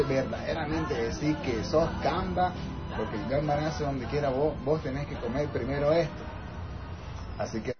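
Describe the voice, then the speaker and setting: A man from Venezuela with a Venezuelan accent, talking casually and gratefully in a video message, full of slang. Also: medium pitch. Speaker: TikToker sending a video message. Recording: slightly dull sound with loud background music.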